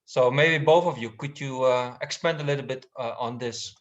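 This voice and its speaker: A man moderates a discussion, asking his questions tentatively and with frequent fillers. His voice sits at a middle pitch. The recording has a slightly dull, muffled tone. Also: normal speed.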